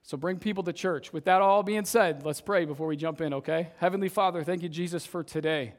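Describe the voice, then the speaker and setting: Young man, youth pastor, casual sermon to a congregation, slightly raspy voice, fairly high pitch, conversational. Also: brisk. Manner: conversational, tags sentences with "right?". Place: church service, in front of a congregation.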